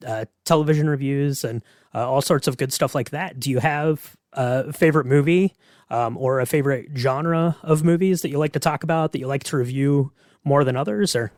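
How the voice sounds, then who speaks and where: A man's voice: medium pitch, darkish tone, dry recording, studio quality. A man hosting a podcast, asking questions and chatting in a casual interview style. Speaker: podcast host.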